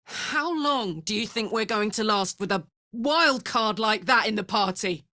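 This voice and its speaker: A woman's voice: medium pitch, expressive, unhurried, pushed and bright, no room sound, studio quality. An actress playing a bold, hearty young female soldier in a video game, brash and slangy with a playful streak. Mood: thoughtful, resolute. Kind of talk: expressive video game voice acting.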